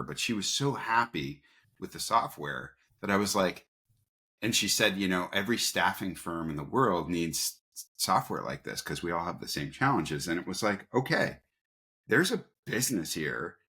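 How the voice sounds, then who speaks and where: A man's voice: deep voice, lively intonation, normal speed, sharp tone. A man telling stories casually as an interview guest, informal and prone to acting out bits of dialogue. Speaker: interview guest, company founder. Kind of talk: casual conversational storytelling.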